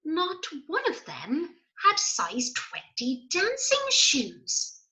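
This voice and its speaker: A woman with a high, bright voice reads a children's story at an unhurried pace. She is a teacher talking to young children, animated and full of chatty asides and questions. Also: low-quality recording. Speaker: primary school teacher reading a story aloud.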